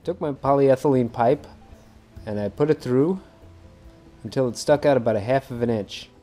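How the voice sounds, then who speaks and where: A man in his thirties casually narrating a how-to project on a docked boat, easygoing and quick to laugh at himself. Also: mid-range voice, warm tone, soft background music. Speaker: fishing video host.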